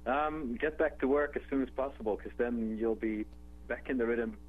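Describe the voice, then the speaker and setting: A man's voice: mid-range voice, normal speed, slight background noise. Dutch-accented man on a tinny phone line. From Netherlands. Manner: relaxed, conversational, with filler words and restarts. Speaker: guest, travel company executive. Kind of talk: phone interview on radio. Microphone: telephone.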